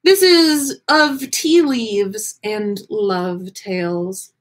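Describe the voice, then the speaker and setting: A woman reading a story aloud in a theatrical, expressive way with drawn-out words and pauses, as the host of a livestream. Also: mid-range voice. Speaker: podcast host reading listener fan fiction on a livestream.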